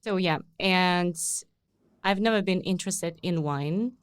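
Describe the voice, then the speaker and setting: A young woman from Kazakhstan, answering interview questions in a measured, plain way. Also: lowish voice, smooth, no room sound. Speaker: podcast producer, guest.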